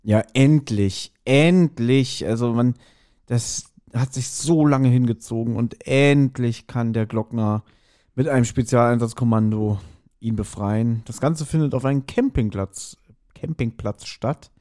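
A man with a medium-pitched voice chats as a podcast co-host. He thinks aloud in everyday language, circling back and repeating phrases to stress his point. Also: unhurried, mellow. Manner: colloquial, musing, repeats himself for emphasis. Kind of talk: casual chat recapping a radio play.

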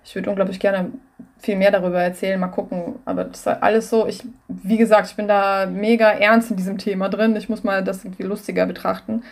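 A woman's voice: medium pitch, brisk. A woman from Russia, a native Russian speaker, chatting casually and enthusiastically in an interview. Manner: lively, enthusiastic, many fillers. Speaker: comedian guest.

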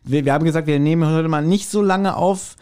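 Ein Mann, der als Podcast-Host locker und gesprächig plaudert, scherzhaft und selbstironisch. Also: male voice, on the high side, a little fast, mellow, clear, resonant tone. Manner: chatty, self-mocking, jokey. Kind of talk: casual, joking podcast chat.

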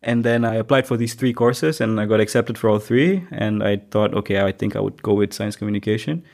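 A man's voice: on the low side, brisk, creaky, darkish tone. A young man from Malaysia, an international student, reflecting aloud in a casual interview chat with frequent restarts.

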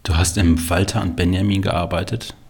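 A man hosting an interview, asking questions in a relaxed, informal way. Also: low voice, moderate pace, husky. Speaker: interviewer, podcast host.

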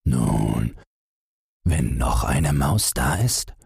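A man doing a wicked old female cat from a storybook, deep and smugly menacing. Her voice is husky, and the room is dry. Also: animated, extremely slow, darkish tone, dry recording, heavily acted.

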